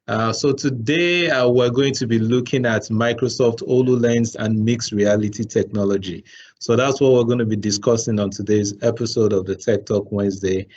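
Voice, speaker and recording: A man's voice: medium pitch, fairly even intonation, moderate pace, darkish tone. Male host, mid-range voice, hesitant with fillers, lo-fi video-call sound. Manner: hesitant, repeats words, many fillers. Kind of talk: online talk show hosting.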